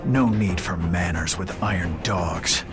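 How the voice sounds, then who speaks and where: An actor voicing a gruff, stoic and defiant rabbit fighter in a video game, terse and sardonic. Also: male voice, low voice, unhurried, over music. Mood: defiant, curt.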